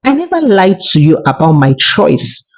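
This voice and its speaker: A woman's voice: fairly low pitch, big pitch swings, moderate pace. A woman with a Nigerian accent voicing a proud, aggrieved young husband in a folktale, reproachful and insistent. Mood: hurt, accusing. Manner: reproachful, insistent, repeating questions. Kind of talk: dramatic folktale storytelling. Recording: dull, lo-fi voice recording.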